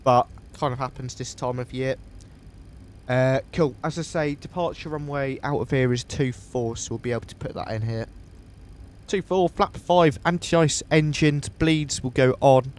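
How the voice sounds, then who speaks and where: A man with a sniffly voice from a coming cold, calmly thinking aloud step by step as he streams a flight simulator session. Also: mid-range voice, moderate pace. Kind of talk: casual live walkthrough of a flight simulator.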